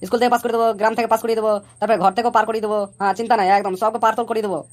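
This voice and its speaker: A woman's voice: a little high, little pitch movement, very fast, darkish tone, close to an everyday voice. A woman voicing a boastful, scheming male election candidate in a comic cartoon, speechifying in a blustering, repetitive way. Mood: insistent, boastful.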